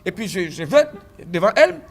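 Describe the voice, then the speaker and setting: A man giving a testimony in an interview, telling his story with animated delivery, stammered restarts and repeated phrases. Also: sits high, a little fast, vocal fry. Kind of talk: recounting a personal story in an interview.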